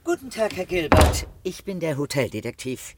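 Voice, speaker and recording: A man's voice: on the high side, sing-song, normal speed, heavy background noise. A man playing an odd, self-assured hotel detective in a satirical radio drama, matter-of-fact about absurd claims.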